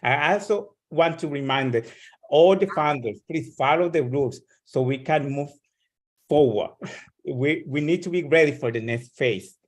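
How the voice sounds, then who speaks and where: A man giving prepared remarks to an online meeting as a guest speaker, earnest and somewhat halting in his delivery. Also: a little high.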